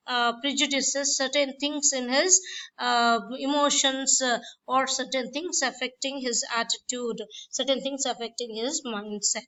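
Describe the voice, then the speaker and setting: High, bright-voiced woman lecturing. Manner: repetitive explanatory delivery with fillers. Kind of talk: classroom lecture. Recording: dull lo-fi recording.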